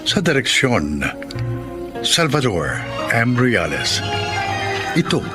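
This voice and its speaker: A man's voice: medium pitch, huge pitch swings, slow pace. A man with a Filipino accent reading scripted narration and credits as a radio drama announcer. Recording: clear music bed behind voice.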